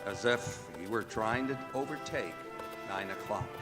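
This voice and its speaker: A man's voice: fairly low pitch, unhurried. A man narrating a scripted radio drama in a theatrical storytelling style. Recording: reverberant stage hall, music behind.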